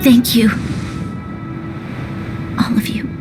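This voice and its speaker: An actress playing a grave, dutiful young woman leader in a video game cutscene, speaking in a solemn, formal, measured way. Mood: solemn, uncertain. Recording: orchestral music behind the voice.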